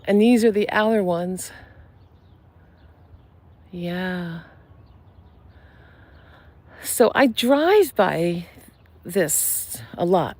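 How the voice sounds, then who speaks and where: A soft-spoken woman, a psychic medium, chatting gently in a live stream while she walks through a cemetery among blossoming cherry trees. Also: fairly low pitch, animated, drawn-out words, long pauses. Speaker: psychic medium and channel host. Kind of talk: casual live-stream chat while walking. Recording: outdoor live stream with clear ambient noise. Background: birds and passing traffic.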